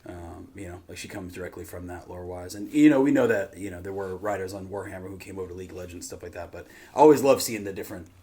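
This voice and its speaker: A man hosting a reaction show with casual, conversational commentary, often trailing off quieter. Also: deep voice, fast, few pauses.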